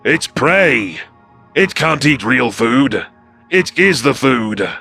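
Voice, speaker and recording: A man's voice: mid-range voice, very animated, takes it slowly, creaky, bright, forceful tone, slightly rough, faint music behind, exaggerated voice. A man voicing a scrawny, cruel male reptilian alien underling in a sci-fi audio story. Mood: scornful. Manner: contemptuous, hissing.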